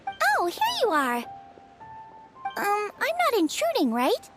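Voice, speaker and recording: A woman playing a lively, caring, youthful companion in a fantasy video game, friendly, chatty and a little hesitant. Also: very high-pitched, takes it slowly, full character voice. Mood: tentative. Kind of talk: video game cutscene acting. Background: background music.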